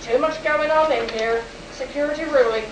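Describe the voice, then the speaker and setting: Reluctant, curt security official played by a woman, high voice, sci-fi radio drama, echoey distant room. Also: normal speed, natural voice. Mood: brusque, reluctant. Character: Ames, brusque government security undersecretary, reluctant official. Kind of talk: live sci-fi radio play acting. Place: live convention performance, recorded from the room. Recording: echoey distant room recording with faint noise.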